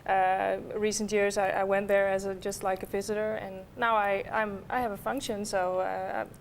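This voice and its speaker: A woman at a mid pitch. She answers interview questions in a small studio, hesitant and padded with fillers, with a faint low rumble underneath. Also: flat delivery, normal speed. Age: 20s. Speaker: festival board member guest.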